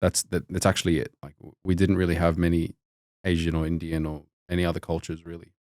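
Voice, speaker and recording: Deep-voiced man, rambling chat. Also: level tone, fast, darkish tone, dry recording, studio quality. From Australia. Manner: rambling, many restarts and fillers. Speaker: podcast guest, doctor. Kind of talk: casual storytelling chat.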